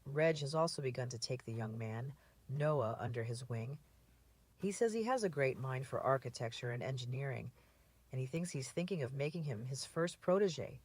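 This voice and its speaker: An actress playing a shrewd, composed female community leader in an audio drama, measured and articulate in manner. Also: a bass voice, expressive, darkish tone, slightly rough, heavily acted. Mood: businesslike. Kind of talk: fan audio drama acting.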